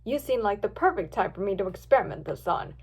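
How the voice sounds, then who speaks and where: A woman's voice: mid-range voice, animated, fast, darkish tone, slightly rough, pitched below the performer's own voice. A woman voicing a scheming male mad scientist in a read-aloud story, smug, commanding and impatient. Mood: calm menace.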